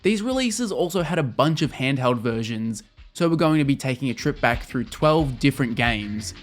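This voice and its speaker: A man's voice: mid-range voice, animated, normal speed, faint music behind. A young adult man narrating a scripted video essay as a game reviewer and host.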